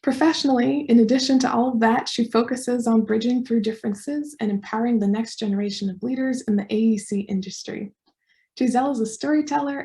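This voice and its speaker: Woman with a high, mellow voice. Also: low-quality recording. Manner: measured, formal introduction reading long lists. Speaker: symposium host. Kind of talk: reading speaker introductions. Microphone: video call mic.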